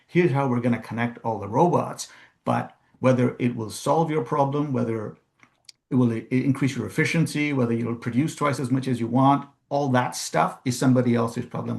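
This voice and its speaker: A man's voice: medium pitch, creaky. A man at a meeting, asking a careful, step-by-step question. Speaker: panel participant asking a question.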